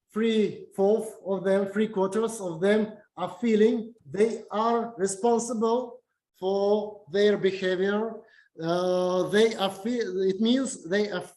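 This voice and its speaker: A slow, hesitant lecturer with a Russian accent speaks in a fairly high voice, pausing often and restarting his sentences. His talk comes through an echoey video call recording, as if from a big room. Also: mellow. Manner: halting, many fillers and restarts.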